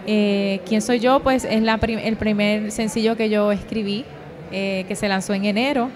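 A woman's voice: medium pitch, steady tone, normal speed. A young woman with a Puerto Rican accent giving an earnest, hesitant personal testimony in an interview, on a handheld microphone. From Puerto Rico. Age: young adult. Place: convention booth. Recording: crowd chatter at event.

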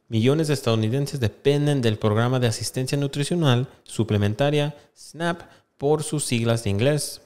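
A man in his thirties hosting an online channel, reading aloud and explaining in a casual, halting way, close to a desk microphone. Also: medium pitch, a little mellow. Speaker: YouTube host. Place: small indoor room with plain wall. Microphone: desk microphone close in front of him.

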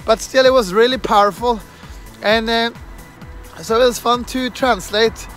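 A man in his thirties speaks English with a strong Norwegian accent, his voice sitting high for a man. He talks earnestly into a phone held at arm's length on a night street, stopping often for fillers and restarts, with faint music in the background. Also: steady tone, a little slow, fairly bright tone. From Norway. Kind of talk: casual walking vlog. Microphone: phone camera held at arm's length.

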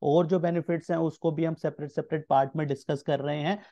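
Male Indian presenter, thirties, mellow tone, explanatory tutorial delivery with repeated key phrases. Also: on the high side, steady tone, fast talker, dull audio. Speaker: tax explainer and channel host. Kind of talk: explanatory lesson mixing Hindi with English terms.

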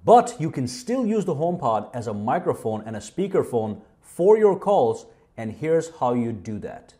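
A man's voice: mid-range voice, very animated, moderate pace, mellow. A man hosting a tech tutorial, narrating a casual how-to demonstration.

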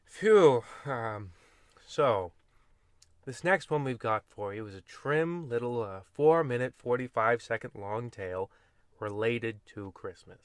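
A man's voice: mid-range voice, measured pace, no echo, studio quality. A man narrating in the style of an old-time horror radio host, played for comedy, with rambling, folksy and wry asides. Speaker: host and storyteller of a radio anthology.

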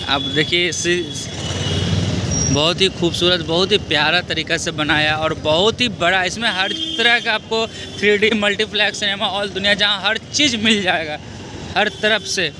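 A man's voice: high-pitched, moderate pace. A man, casually narrating a vlog into a handheld phone out on busy streets. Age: 20s. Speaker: vlogger. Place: outdoors on busy city streets, often riding on a motorbike. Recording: loud traffic and street noise.

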